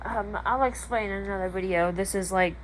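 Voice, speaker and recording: A woman's voice: medium pitch, brisk, poor audio. A woman chatting casually to her viewers in a room at home, with a blunt, grumbling, sweary manner. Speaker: amateur vlogger filming a weather radio alert. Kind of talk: casual chat to camera. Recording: faint background noise, home video.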